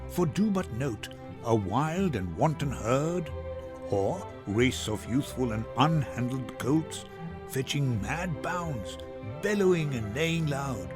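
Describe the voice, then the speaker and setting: Man playing a tender, poetic gentleman lover. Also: medium pitch, expressive, music in the background. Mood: earnest, lyrical. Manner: lyrical, measured, tender. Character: Lorenzo, romantic, poetic young gentleman lover. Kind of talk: dramatic Shakespeare reading.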